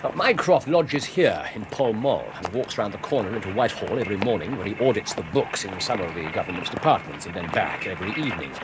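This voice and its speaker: Man playing brilliant cocky english detective, radio play, very animated mid voice, precise lecturing, noisy background. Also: on the low side, fast talker, hardly any pauses, close to an everyday voice. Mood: explaining. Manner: precise, lecturing, theatrical emphasis. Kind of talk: radio drama acting.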